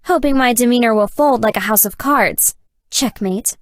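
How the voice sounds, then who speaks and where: A woman voicing a dry, deadpan, nerdy young gamer girlfriend in a dating game. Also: a little high, moderate pace, dry recording, close to an everyday voice. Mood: dry, awkwardly affectionate. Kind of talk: scripted dating game character dialogue.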